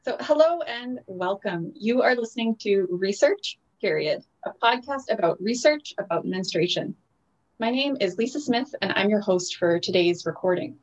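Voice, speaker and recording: A woman hosting a podcast interview over a video call, measured and grateful toward her guests. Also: medium pitch, animated, lo-fi sound. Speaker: podcast host.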